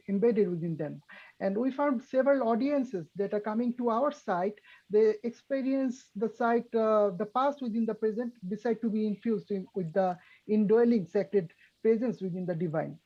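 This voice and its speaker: Mellow formal woman, lo-fi call. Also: medium pitch, normal speed. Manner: formal, wordy phrasing. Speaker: webinar panelist. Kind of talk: online panel talk.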